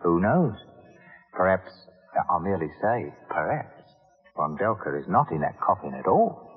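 An actor playing a roguish, mercenary professor in an old radio drama, with florid, mock-humble charm. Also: male voice, sits low, sing-song, moderate pace. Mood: conspiratorial. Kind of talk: 1940s-50s radio drama acting. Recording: tinny old radio recording, reverberant, music behind.

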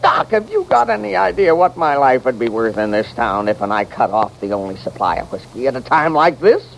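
An actor playing a hot-tempered, put-upon American saloon keeper in an old-time radio western, blustering in a folksy, exasperated drawl. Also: male voice, mid-range voice, big pitch swings, a little fast, vocal fry, rough recording. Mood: miserable. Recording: vintage radio recording with hiss.

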